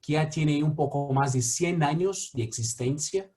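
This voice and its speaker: A man's voice: a little high, a little slow, dark tone. A man with a Brazilian Portuguese accent, mediating a talk and introducing a guest with many fillers and restarts.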